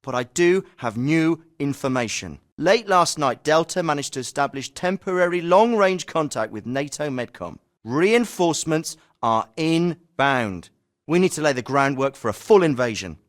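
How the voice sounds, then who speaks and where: A man's voice: on the high side, expressive, normal speed, close to an everyday voice. A man voicing an authoritative, composed special forces commander in a video game, giving scripted briefings in a clipped, formal manner. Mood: sober, determined. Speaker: game voice actor.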